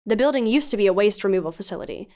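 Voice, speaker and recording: A woman's voice: medium pitch, expressive, racing, a little mellow, close to an everyday voice. An actress playing a cautious, analytical female researcher who investigates for a podcast, earnest and articulate, in an audio drama. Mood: reasoning. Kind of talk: audio drama acting, dialogue and narration.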